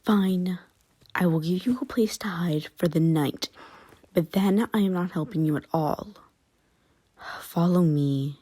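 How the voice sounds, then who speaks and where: A woman acts out an aloof, irritable female werewolf in an intimate ASMR roleplay. Her voice sits on the low side with a dark, soft tone that often drops into whispers. She speaks very slowly, curt and scornful, grudging even when she helps. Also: slight background noise, lightly acted. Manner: curt, scornful, exasperated sighing.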